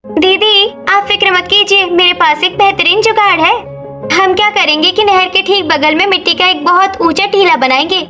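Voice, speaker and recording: Woman voicing an eager, very high-pitched young village daughter-in-law. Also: steady tone, moderate pace, pushed and bright, faint music behind. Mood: eager, confident. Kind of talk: Hindi cartoon story acting.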